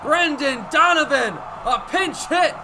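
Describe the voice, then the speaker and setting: A young American man calling baseball play-by-play for radio, with energetic, vivid running commentary. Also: very high-pitched, slow. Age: young adult. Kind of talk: live radio sports commentary. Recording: live broadcast with ballpark background noise. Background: stadium ambience.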